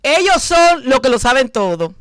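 Brash high-voiced Dominican woman host. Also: big pitch swings, moderate pace, no echo, clean studio sound. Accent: Dominican Spanish. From Dominican Republic. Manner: brash, profane and scolding, loud bursts. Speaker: talk show host. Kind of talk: late-night studio talk. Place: TV studio set. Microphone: desk mic on stand.

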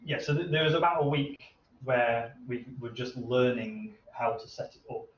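A man in his thirties answering questions at an informal technical seminar, haltingly thinking aloud. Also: mid-range voice. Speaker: researcher presenting at a seminar. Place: medium seminar room with hard walls. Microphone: distant camera microphone. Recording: roomy, distant, muffled, noisy room recording.

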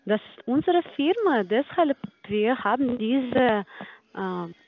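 Halting young woman on a dull phone line. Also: fairly high pitch, sing-song, no room sound. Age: 20s. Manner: halting, hesitant, broken phrasing. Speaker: phone caller posing as finance company agent. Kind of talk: phone conversation. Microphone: phone call.

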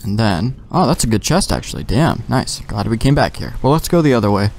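A man's voice: mid-range voice, big pitch swings, brisk, creaky, darkish tone, a little hiss or hum. A young man giving offhand, slangy commentary as he plays a video game, talking to himself. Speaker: gamer, let's play host.

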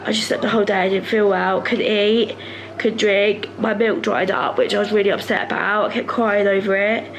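A young British mother, croaky from a cold, talks close into a handheld camera from her sofa late at night. She rambles and confides, leaning on fillers, while a steady hum sits clearly behind her voice. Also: medium pitch, moderate pace. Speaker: family vlogger, mother. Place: home living room, sofa, indoors at night. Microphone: handheld camera held close to her face.

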